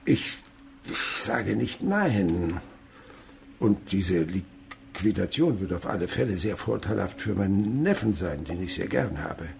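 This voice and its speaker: An actor playing a sly old miserly French merchant in a radio play, feigning a halting stammer. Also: male voice, sits low, lively intonation, creaky, darkish tone, slight background noise, full character voice. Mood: feigned hesitation, cunning. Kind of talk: radio drama acting.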